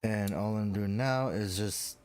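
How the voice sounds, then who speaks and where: A man's voice: on the low side, unhurried, a little mellow, smooth. A young man with a stuffy nose, chatting casually and rambling with lots of fillers while building a model kit. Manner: rambling, self-deprecating, full of fillers.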